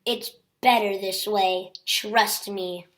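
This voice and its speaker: A woman's voice: mid-range voice, fairly even intonation, slow pace, a bit bright. A woman voicing a small, earnest young male duck in a storybook, eager and wide-eyed. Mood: earnest, reassuring.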